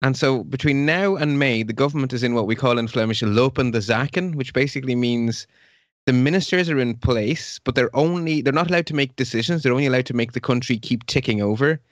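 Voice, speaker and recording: A man's voice: medium pitch, brisk, dry recording. A man, co-hosting a casual podcast, who explains politics at length with wry asides. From Belgium. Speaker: podcast co-host. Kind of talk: casual current-affairs chat.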